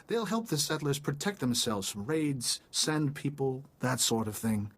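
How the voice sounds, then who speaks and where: An eager male diplomat with a medium-pitched voice, in a science fiction game. He is courteous and talkative, with an apologetic streak. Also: expressive, unhurried, a bit bright, slightly rough, exaggerated voice. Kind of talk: video game voice acting.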